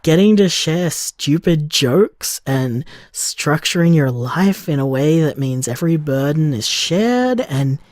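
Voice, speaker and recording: An actor playing a tender, contented bar owner in love, speaking reflectively in an audio drama voicemail. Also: male voice, fairly high pitch, lively intonation, takes it slowly, hardly any pauses, no room sound, clean studio sound. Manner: soft-hearted, reflective, conversational.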